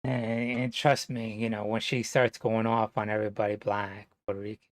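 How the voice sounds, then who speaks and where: A man from New Jersey talking to camera in a rambling, casual monologue, halting and full of fillers, swearing now and then. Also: fairly low pitch, little pitch movement, dry recording. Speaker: vlogger.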